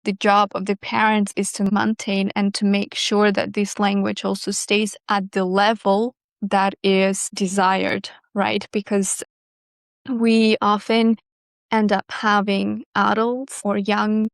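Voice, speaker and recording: Woman expert, smooth and level delivery, measured explainer. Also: medium pitch, level tone, no echo. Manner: measured, explanatory, with small restarts. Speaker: bilingual education specialist. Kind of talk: expert interview answers.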